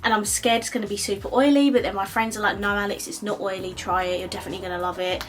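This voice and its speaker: A woman's voice: mid-range voice, steady tone, faint music behind. A woman in her twenties with an Essex accent, chatting casually while doing her makeup, with plenty of ums and likes. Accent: Essex English. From Essex, England. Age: 20s. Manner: chatty, lots of ums and likes, quick laughs. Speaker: beauty vlogger. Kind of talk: chatty get-ready-with-me talk.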